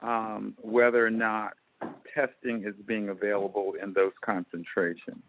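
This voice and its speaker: A male council member speaking earnestly and insistently in a meeting, repeating words haltingly, over a phone conference line. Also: lowish voice, level tone. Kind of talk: commenting in a meeting. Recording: tinny phone line, faint noise.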